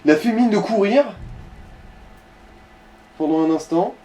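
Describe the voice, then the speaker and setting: A man's voice: high voice, animated, unhurried, mellow. A man giving casual Let's Play commentary, coaching another player in crude, slangy talk. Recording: roomy echo, distant mic, music. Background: game music.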